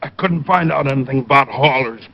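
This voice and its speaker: An actor playing a gruff, matter-of-fact police lieutenant in an old radio drama. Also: male voice, medium pitch, quick pace, a little hiss or hum, lightly acted. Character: gruff police lieutenant, adult man, dutiful. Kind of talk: 1940s-50s radio drama acting. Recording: dull old radio broadcast with music.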